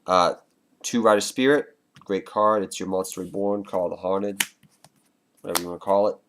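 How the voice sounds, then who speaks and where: Male vlogger, very low pitch, stuffy nose from a cold, chatty and loose delivery to viewers. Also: fairly even intonation, moderate pace, a bit bright, a little hiss or hum. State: congested with a cold. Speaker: card game vlogger. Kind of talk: casual deck explanation to viewers.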